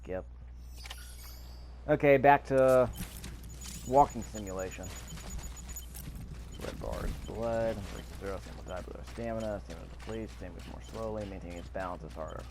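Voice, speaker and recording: A man's voice: lowish voice, moderate pace, pauses often, noisy background. A man giving casual commentary over video gameplay, with an offhand, dryly skeptical way of talking.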